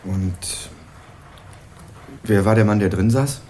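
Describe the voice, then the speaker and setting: A man's voice: lowish voice, takes it slowly, dark tone, slight background noise. A young actor playing a guarded male student who answers a police detective in a radio crime drama, curt and wary. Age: young adult.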